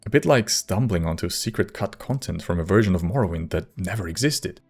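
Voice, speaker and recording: A man reading scripted video essay narration in a measured, wry manner. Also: sits low, animated, soft background music.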